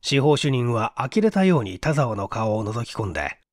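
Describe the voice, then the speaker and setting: Animated male mystery narrator, dry sound. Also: medium pitch, moderate pace, no room sound. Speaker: audiobook reader. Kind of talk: dramatic scripted narration of a mystery story.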